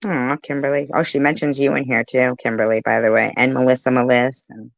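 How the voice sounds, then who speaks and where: A woman's voice: rumbling low, level tone, brisk, gravelly, no echo, muffled recording. A woman giving casual reaction commentary on a live stream, chatty and argumentative as she interjects.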